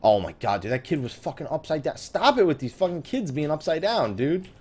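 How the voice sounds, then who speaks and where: Sweary man with very animated, swinging pitch. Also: brisk, slightly rough. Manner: sweary, griping, sarcastic. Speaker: host of a gaming let's play series. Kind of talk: casual let's play commentary.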